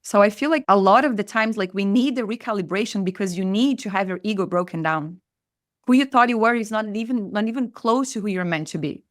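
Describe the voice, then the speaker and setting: A woman's voice: mid-range voice, fairly quick, no echo, clean studio sound. A woman from Brazil with a Brazilian Portuguese accent, a native Portuguese speaker, talking earnestly as a guest on a podcast and repeating phrases for emphasis. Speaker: spiritual mentor guest. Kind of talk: reflective podcast conversation.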